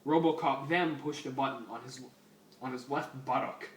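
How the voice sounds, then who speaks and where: A man's voice: medium pitch, normal speed, slight background noise. A man giving a mock-serious, theatrical dramatic reading of fan fiction. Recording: roomy, distant mic under loud music.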